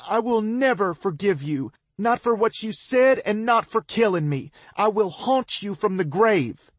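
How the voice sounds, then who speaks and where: A terrified, tearful young woman cries out in a high, strained voice, biting back with sharp retorts between sobs, as a male narrator puts her on. The sound is dry and close. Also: expressive, measured pace, no room sound. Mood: panicked. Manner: shrill, tearful and sobbing.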